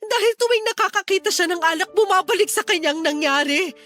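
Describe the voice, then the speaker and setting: A woman's voice: very high voice, lively intonation, fairly quick, fairly bright tone. A Filipino woman playing a desperate wife and mother pleading earnestly with her sister-in-law in a radio drama. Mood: tearful begging. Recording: music under the dialogue.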